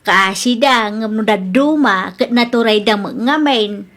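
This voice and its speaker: A woman's voice: a little high, measured pace, fairly bright tone. A woman acting a forgiving older mother in a radio drama, with an Ilocano accent. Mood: concerned.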